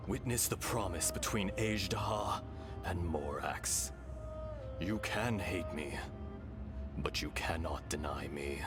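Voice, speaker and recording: An actor playing the solemn, benevolent remnant of an ancient creature in a fantasy game, speaking gravely and formally. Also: male voice, low-pitched, slow. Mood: solemn, resigned. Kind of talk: scripted fantasy game acting. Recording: game music behind the voice. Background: loud music.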